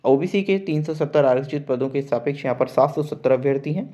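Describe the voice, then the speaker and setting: A man's voice: a little high, fast, mellow. A man reading out an official notice in an explanatory way, mixing formal Hindi with English words and restating points simply. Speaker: presenter of exam result updates for job aspirants. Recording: dull, lo-fi voice recording.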